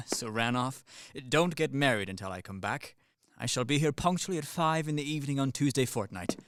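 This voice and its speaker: A man's voice: mid-range voice, moderate pace, fairly bright tone, clean tone, no echo, studio quality, natural voice. A man playing a practical Swiss army captain in his thirties in a radio comedy, matter-of-fact and emphatic. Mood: brisk, businesslike. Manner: matter-of-fact, emphatic, reeling off lists and figures. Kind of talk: stage-comedy radio play acting.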